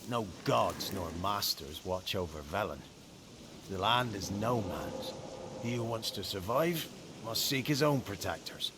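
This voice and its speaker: A man's voice: fairly low pitch, expressive, a little slow, noisy background. Grim rustic village headman. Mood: grim resigned. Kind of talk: video game cutscene acting.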